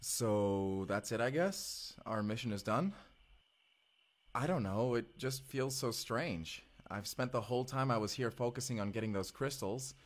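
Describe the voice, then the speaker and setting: A man's voice: medium pitch, unhurried, close to an everyday voice. A man playing an earnest, affectionate young male time traveler, speaking to the listener in an audio roleplay. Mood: wistful. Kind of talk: first-person audio drama dialogue, spoken to the listener.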